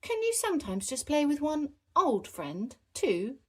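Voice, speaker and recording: Woman doing very high, chirpy young animal pupil. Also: huge pitch swings, unhurried, a bit bright, exaggerated voice. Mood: curious, excited. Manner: chirpy, eager. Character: eager little animal schoolchildren, curious and excited. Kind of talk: children's storybook characters read aloud.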